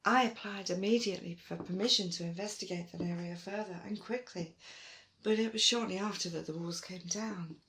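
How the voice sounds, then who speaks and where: A woman playing a proud, stubborn archaeologist in an audio roleplay, with emphatic, expressive delivery. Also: close to an everyday voice. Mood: confiding. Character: Dune, proud, determined female archaeologist, stubborn and warm to friends. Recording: roomy, faint rumbling noise.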